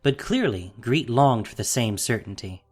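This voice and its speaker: A man's voice: medium pitch, lively intonation, normal speed. A man narrating a fantasy audio drama from a script, as its storyteller.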